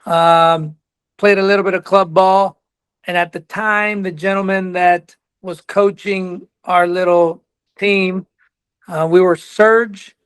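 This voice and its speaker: A man's voice: high-pitched, steady tone, slow pace, a bit bright, smooth, dry recording. A man in his forties from Colombia, raised in Texas, with a slight Texas accent, a college soccer coach telling his story earnestly as a podcast guest. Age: 40s. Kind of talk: podcast interview.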